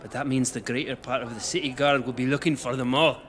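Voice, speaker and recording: A man playing a gruff, stern, ailing old general, curt and grumbling, in a fantasy audio drama. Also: mid-range voice, steady tone, fast, fairly bright tone, close to an everyday voice. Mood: frustrated.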